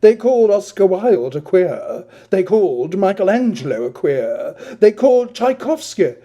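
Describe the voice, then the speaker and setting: A man's voice: high voice, sing-song, measured pace, dark tone, voice pushed up, heavily acted. A man voicing a flamboyant, witty teenage public schoolboy with a florid, affected, theatrical delivery for a novel reading. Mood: arch, defiant.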